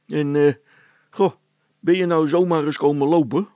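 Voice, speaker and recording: A man voicing a simple, good-natured old village policeman in a storybook, halting and folksy in speech. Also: a little high, steady tone, mellow, dry recording, pitched above the performer's own voice, natural voice. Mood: friendly, sheepish.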